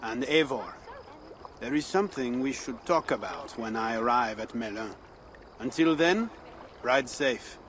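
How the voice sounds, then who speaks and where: An actor playing a loyal Viking shipmate in a video game, calm and plain-spoken. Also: male voice, medium pitch, a little slow, a little hiss or hum. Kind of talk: video game cutscene acting.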